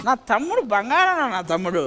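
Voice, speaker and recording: A man's voice: very high-pitched, very animated, fast. A man with a Telugu accent doing playful comic skit banter on a TV dance show. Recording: loud music behind voice. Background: loud show music.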